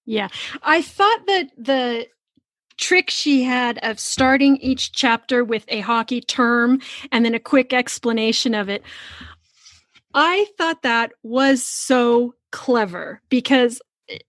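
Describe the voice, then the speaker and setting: A woman hosting a book podcast, talking in an expressive, emphatic way with drawn-out words. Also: a little high, no room sound, clean studio sound. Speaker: podcast host and interviewer. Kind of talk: podcast intro and book chat.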